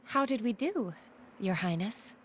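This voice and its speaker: A woman's voice: mid-range voice, lively intonation, a little slow, slightly rough. An actress playing a courteous, composed female envoy in a video game, speaking with polite formality. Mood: deferential. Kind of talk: video game cutscene voice acting.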